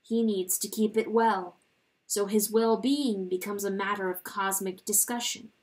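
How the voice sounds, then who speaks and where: A woman reading a literary fable as a dramatic narrator, with solemn, measured and deliberate phrasing. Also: mid-range voice, steady tone, darkish tone, low-quality recording. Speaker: audiobook reader.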